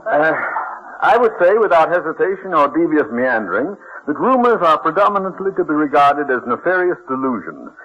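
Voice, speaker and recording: An actor playing a pompous, verbose small-town mayor with stately, over-elaborate wording, in old-time radio comedy style. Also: male voice, a little high, sing-song, brisk. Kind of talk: 1940s-50s radio comedy acting. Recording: muffled, faintly noisy old radio recording.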